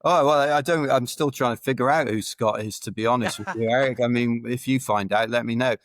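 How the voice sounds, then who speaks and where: A man's voice: medium pitch, steady tone, fairly quick, dry recording, studio quality. A man in his forties, a guest on a podcast, telling his story in a rambling way that keeps correcting itself. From Wales. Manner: rambling, self-correcting, chuckles while telling stories. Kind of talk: casual podcast interview.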